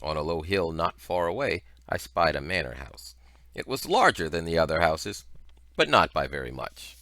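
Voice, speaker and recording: A man reading a story aloud as scripted narration, with expressive, deliberate phrasing. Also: low-pitched, dry recording. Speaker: audiobook reader.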